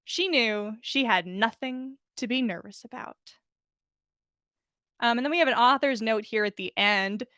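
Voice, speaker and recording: A woman hosting a podcast, speaking in an expressive, casual storytelling style. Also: fairly high pitch, unhurried, dry recording, clean studio sound. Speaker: podcast host reading fan fiction. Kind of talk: expressive story reading and casual livestream chat.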